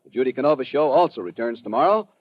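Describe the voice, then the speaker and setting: A man's voice: medium pitch, lively intonation, fast talker, no room sound. A man reading scripted announcements as a polished, promotional 1950s radio announcer on a dull old recording. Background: music.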